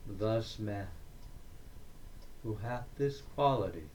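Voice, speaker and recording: A man reading a dramatic monologue in verse, in a deliberate, declamatory manner with frequent pauses. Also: fairly low pitch, lively intonation, a little mellow, slight background noise. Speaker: poetry reader. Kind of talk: dramatic monologue poetry recitation.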